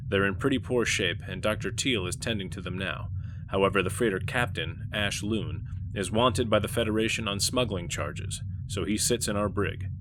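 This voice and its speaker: A man playing a dutiful starship captain in a sci-fi tabletop game, speaking formally and with measured delivery. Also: on the low side, noisy background, natural voice. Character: dutiful male Federation starship captain giving orders and reports. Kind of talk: sci-fi tabletop roleplay narration.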